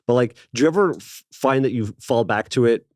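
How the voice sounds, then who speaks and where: A man's voice: mid-range voice, fairly quick, darkish tone, no echo, clean studio sound. A man from Canada co-hosting a podcast, easygoing and curious, laughing readily as he asks questions. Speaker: podcast co-host. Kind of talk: casual interview chat.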